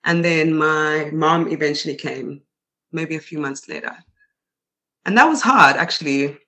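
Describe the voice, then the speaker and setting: A woman's voice: low-pitched, a little slow, fairly bright tone. A young South African woman with a South African accent, chatting as a guest in a casual interview and laughing often.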